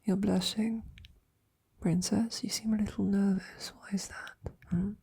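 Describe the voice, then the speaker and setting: A woman playing a devoted, tender and teasing female knight in intimate ASMR roleplay, speaking softly and haltingly, with affection, in a breathy, often whispered voice. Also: medium pitch, steady tone, full character voice. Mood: teasing, flirtatious.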